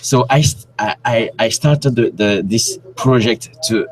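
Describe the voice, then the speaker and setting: A man's voice: mid-range voice, measured pace, faint music behind, low-quality recording. A man from Cameroon, a guest researcher speaking on a remote call, who often stops to search for words. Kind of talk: interview on a call.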